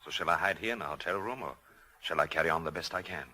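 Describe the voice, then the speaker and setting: An actor playing a brave, gentle husband who speaks in a measured, formal, earnest way in a 1940s radio drama. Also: male voice, sits low. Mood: earnest, resolute. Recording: old 1940s radio broadcast.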